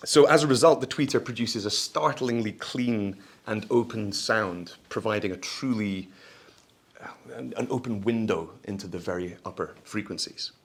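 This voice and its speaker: Low-voiced man presenting a product launch. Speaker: company presenter.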